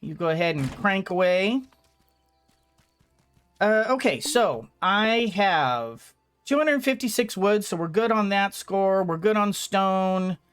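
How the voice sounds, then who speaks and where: High-pitched man narrating his gameplay. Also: expressive, slow, a bit bright, clear, resonant tone, faint music behind. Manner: rambling, thinking aloud. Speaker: gaming video host. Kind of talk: casual let's-play commentary.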